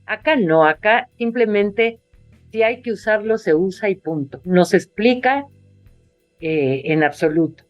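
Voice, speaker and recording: A woman's voice: mid-range voice, expressive, unhurried, no room sound, muffled recording, soft background music. A woman writer being interviewed over a video call, talking casually and hesitantly with many fillers and restarts. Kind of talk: casual conversation.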